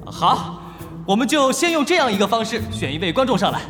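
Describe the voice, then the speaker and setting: A man's voice: extremely high pitch, big pitch swings, a little fast. A man playing a flustered young event host in a radio drama, polite and coaxing, with hesitant announcing. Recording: echoey arena reverb over loud music.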